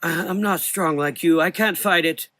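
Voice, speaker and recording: A man voicing a frightened, frail elderly doctor with a weak, thin voice and halting speech in a gothic horror audio drama. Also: on the high side, sharp tone, no room sound, pitched above the performer's own voice. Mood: fearful. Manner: halting, repeating phrases, exclaiming.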